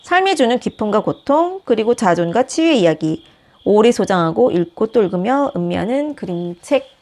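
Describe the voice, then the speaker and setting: Expressive woman reading a children's book. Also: medium pitch, moderate pace, slight background noise. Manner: gentle, expressive storytelling. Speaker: storybook reader, channel host. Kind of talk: reading a picture book aloud.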